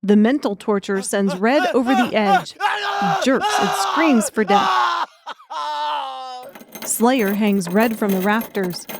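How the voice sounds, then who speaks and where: A woman reading dramatic scripted audiobook narration as the storyteller. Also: on the high side.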